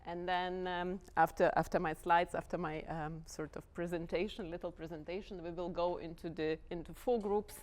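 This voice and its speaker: A woman's voice: fairly low pitch, fairly even intonation, moderate pace, few pauses, a little mellow. Hesitant Lithuanian-accented woman lecturing. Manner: hesitant, many ums and restarts, friendly. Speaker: guest lecturer, artistic director. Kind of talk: informal lecture to dance students.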